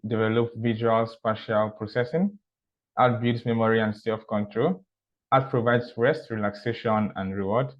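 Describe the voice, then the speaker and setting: A man sharing personal stories in a measured, casual way, often repeating words and asking "right?". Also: medium pitch. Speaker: art teacher and architecture student. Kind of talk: casual talk. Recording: dull, muffled recording.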